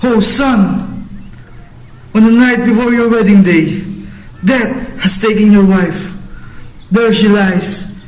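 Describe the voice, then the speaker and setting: Man playing high-voiced, commanding lord and father. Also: fairly even intonation, slow pace, pauses often, a little mellow. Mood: grieving. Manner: commanding, declamatory. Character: bossy, impatient lord and father, later grieving. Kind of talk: amateur radio drama acting. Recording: echoey room.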